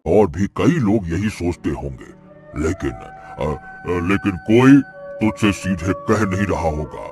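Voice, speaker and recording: A man's voice: low voice, animated, slow pace, darkish tone, exaggerated voice. A man playing an older male regular diner in a cartoon story, complaining and advising in an emphatic, colloquial way. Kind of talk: Hindi cartoon story voice acting. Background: background music.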